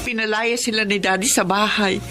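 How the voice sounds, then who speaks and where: A woman's voice: mid-range voice, steady tone, moderate pace, over music, lightly acted. A woman playing a caring, emotional middle-aged mother in a Filipino radio drama, with a Filipino accent. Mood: emotional, longing.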